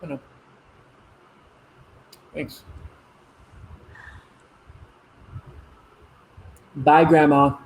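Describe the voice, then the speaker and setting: A man playing an earnest, gently firm grown son and expectant father in a naturalistic one-act play. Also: on the high side, level tone, slow pace, slight background noise, rough recording. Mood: affectionate. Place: virtual play performed over video call.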